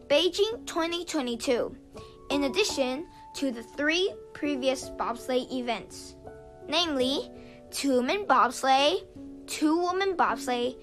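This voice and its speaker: A high-pitched woman delivers scripted broadcast narration at an unhurried, deliberate pace. Her reading is measured and formal. Soft music plays beneath her voice. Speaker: program narrator.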